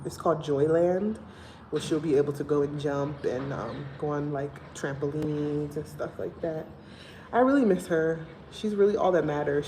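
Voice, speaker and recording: A woman's voice: sits low, slight background noise, poor audio. A young woman from Liberia with a Liberian accent, chatting casually and emphatically to her viewers on a livestream. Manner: animated, emphatic storytelling. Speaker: vlogger.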